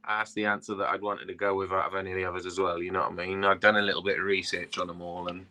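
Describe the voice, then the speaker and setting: A man's voice: low-pitched, little pitch movement, very fast, sharp tone. A young Welsh man, a tarot reader, chatting in a rambling, laid-back way, full of "you know what I mean". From Wales. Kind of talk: casual interview chat.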